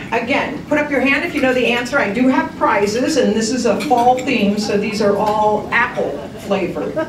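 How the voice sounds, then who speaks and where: A woman's voice: on the high side, background noise. A woman, a TV news reporter giving a chatty, self-deprecating trivia talk to an audience in a museum hall. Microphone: handheld microphone. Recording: echoey hall, mic at a distance.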